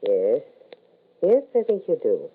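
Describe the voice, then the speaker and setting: A grave, mysterious spirit medium in a 1940s radio play, heard through a thin vintage recording. The formal, measured delivery rises and falls widely, full of cautious foreboding. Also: male voice, on the high side, huge pitch swings, husky, phone-quality sound, exaggerated voice. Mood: measured, probing. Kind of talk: 1940s radio drama acting.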